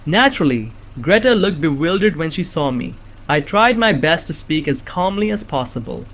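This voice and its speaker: A man playing a nerdy, anxious young man on the run, narrating a suspense story in a measured, earnest way. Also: a little high, very animated, gravelly, fairly bright tone, full character voice. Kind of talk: first-person scripted narration of suspense fiction. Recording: music behind the voice.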